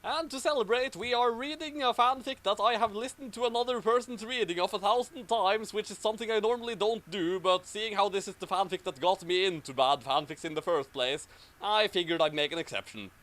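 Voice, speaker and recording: A man's voice: sits high, fairly quick, fairly bright tone. A man hosting and narrating a comic fan fiction reading in an amused, theatrical way. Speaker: host and narrator.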